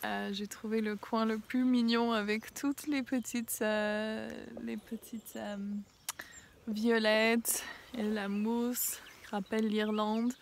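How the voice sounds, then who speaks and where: Soft-spoken woman with a head cold. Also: on the high side, flat delivery, creaky, slight background noise. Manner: hesitant, many pauses and fillers, often drops to a hushed near-whisper. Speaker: oracle card reader. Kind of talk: casual spiritual card reading. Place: outdoors in a small mossy spot with violets.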